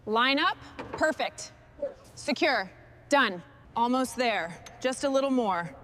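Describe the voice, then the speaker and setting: High voiced woman doing short procedural comments while fixing up machinery, noisy ambience behind her. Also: animated, takes it slowly, fairly bright tone. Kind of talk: short work commentary during restoration.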